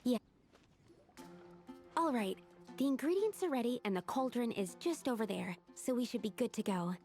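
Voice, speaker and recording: Studious young woman alchemist, gravelly fry, tentative, precise, earnest, video game acting, music underneath. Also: a little high, expressive, normal speed, a little mellow. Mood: shy, polite.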